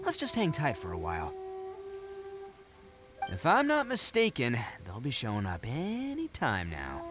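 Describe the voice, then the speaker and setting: An actor voicing a brash, boastful young male oni brawler in a video game, talking loud and cocky. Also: medium pitch, huge pitch swings, unhurried, over music.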